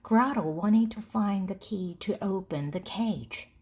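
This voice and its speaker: A woman reading a children's story aloud in short, deliberate phrases for language learners. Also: mid-range voice, a little mellow, slightly rough. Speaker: storybook narrator.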